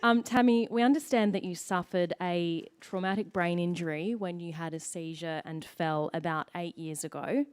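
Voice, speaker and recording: A woman's voice: fairly low pitch, normal speed, few pauses, clear, resonant tone. A woman with an Australian accent hosting a stage event, introducing and interviewing a guest in an encouraging manner. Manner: warm, encouraging, upbeat. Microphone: microphone.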